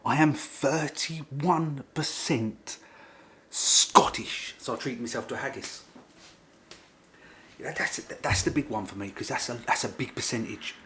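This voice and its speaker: A man's voice: mid-range voice, unhurried, fairly bright tone. A middle-aged man, vlogging in a rambling, casual way and often repeating himself. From England. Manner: rambling, repeats himself, informal working-class grammar. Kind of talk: casual chat to camera.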